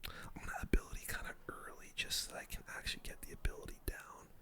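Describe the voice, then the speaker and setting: A person whispering casual ASMR gameplay commentary, breathy and unvoiced, full of gamer slang while talking through each move. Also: quick pace. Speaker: game streamer.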